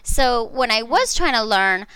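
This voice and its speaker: A woman's voice: on the high side, expressive, measured pace, bright, forceful tone, smooth, no room sound, poor audio. A woman from India chatting casually as a co-host, blunt and teasing, speaking with emphasis. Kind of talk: casual chat.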